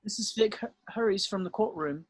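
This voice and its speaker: A man's voice: high voice, brisk, husky, no room sound. A man reading stage directions as narrator in a screenplay table read.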